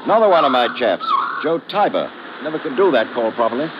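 A male actor plays an officer, easygoing and casual, with a very lively mid-range voice. He talks in clipped phrases on a muffled vintage radio recording. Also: mid-range voice, fast, very noisy, lightly acted. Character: easygoing colonial officer leading his lookout men. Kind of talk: 1950s radio drama acting.

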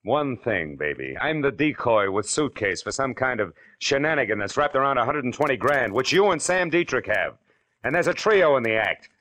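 An American actor playing a wry, hard-boiled private detective in a 1940s radio drama, sardonic and slangy in clipped phrases. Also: male voice, mid-range voice, sing-song, normal speed, bright, forceful tone. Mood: sarcastic, pressing. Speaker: lead actor and narrator. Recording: vintage radio drama with music behind.

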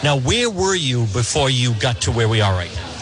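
A man's voice: mid-range voice, sing-song, normal speed, music in the background. A man with a New England accent, a radio host asking a volunteer earnest, rambling questions while outdoors at a border crossing. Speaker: radio host reporting from abroad. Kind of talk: on-the-street interview.

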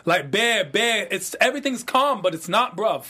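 A man's voice: sits high, sing-song, moderate pace, sharp tone, husky. A man co-hosting a casual recap, playfully hamming up a put-on London street accent and slang. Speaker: co-host.

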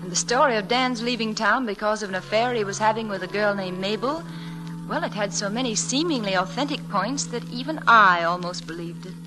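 An actress playing a tender, devoted young schoolteacher in an old-time radio drama, speaking with emotional exclamations and endearments. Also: female voice, mid-range voice, a little fast, close to an everyday voice, rough recording. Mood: wistful narrating. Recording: vintage radio drama with music.

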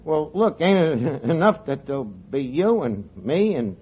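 A wisecracking tavern manager. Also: male voice, fairly high pitch, sing-song, breathy edge, heavily acted. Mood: wheedling. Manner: fast-talking street-slang wisecracker. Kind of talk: 1940s radio comedy acting. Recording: lo-fi old radio broadcast with hiss and studio audience.

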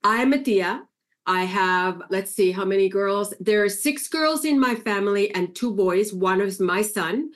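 A woman's voice: mid-range voice, a little slow. A middle-aged woman from Puerto Rico, talking casually as a podcast guest and telling family stories. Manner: chatty, warm storytelling with fillers. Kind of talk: casual interview conversation.

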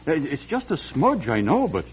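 An actor playing a genial, tactful aging bishop in a radio drama, with a husky avuncular voice on a muffled recording. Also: male voice, fairly high pitch, measured pace, a little hiss or hum. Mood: curious. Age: older. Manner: avuncular, hesitant, animated.

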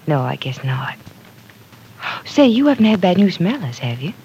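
An actress playing a caring young woman in a 1940s radio soap, gentle and sympathetic. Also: lowish voice, sing-song, normal speed, highs cut off, a little hiss or hum. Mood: worried.

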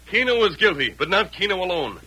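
An actor playing a stern, righteous masked hero of an old radio western, speaking with deliberate authority. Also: male voice, sits high, big pitch swings, bright, forceful tone, full character voice. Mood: commanding. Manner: authoritative, deliberate, crisply commanding. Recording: noisy vintage radio drama recording.

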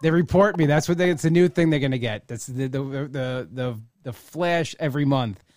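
A man's voice: medium pitch, moderate pace, darkish tone, no room sound. Male host, 40s, casual rambling delivery, dry close-miked sound. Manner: rambling, restarts often, says like a lot. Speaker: podcast host. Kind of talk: casual podcast chat.

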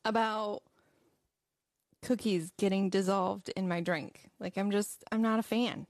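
A woman's voice: mid-range voice, steady tone, measured pace, no room sound. A woman co-hosting a casual live morning show, light and teasing in manner. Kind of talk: casual live-stream chat.

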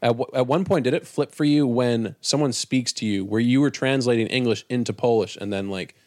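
A man's voice: mid-range voice, brisk, darkish tone, no echo. A young man chatting casually, asking curious questions and swearing freely. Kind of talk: casual chat.

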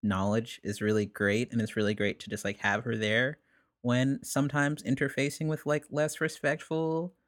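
A young man, a musician guest, telling stories in a relaxed, rambling way, with many "like"s and frequent laughs. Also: medium pitch, normal speed, no room sound, studio quality. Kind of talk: casual conversation.

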